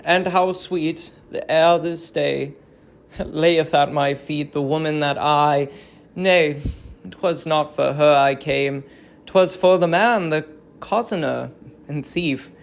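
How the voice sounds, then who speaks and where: A performer voicing a vengeful, tormented Greek king, declaiming halting archaic verse in a dramatic reading of a tragedy. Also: medium pitch. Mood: brooding.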